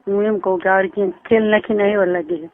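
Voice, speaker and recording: A woman's voice: mid-range voice, fairly even intonation, quick pace. Kathiawari-accented woman on tinny phone line. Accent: Kathiawari Gujarati. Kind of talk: casual private phone chat. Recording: tinny phone call recording.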